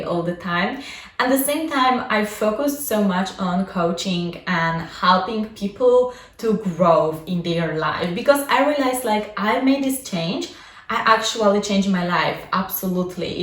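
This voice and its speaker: A young adult woman whose first language is Polish, talking casually about her own life as a vlogger and coach, with many fillers like "like" and "you know". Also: medium pitch. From Poland. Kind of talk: casual personal vlog. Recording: echoey room, mic at a distance.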